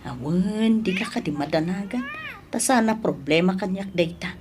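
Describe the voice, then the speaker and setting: A woman's voice: medium pitch, lively intonation, normal speed, darkish tone, a little hiss or hum, lightly acted. A woman playing an emotive middle-aged mother in a melodramatic audio drama, exclaiming as she talks. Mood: soothing. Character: middle-aged mother, doting on suitor, scolding daughter.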